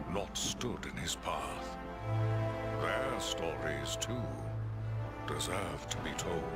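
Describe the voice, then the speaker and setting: Slow, deep-voiced man narrating fantasy epic. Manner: dramatic, portentous. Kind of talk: solemn epic scripted narration for a fantasy game. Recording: voice under loud music.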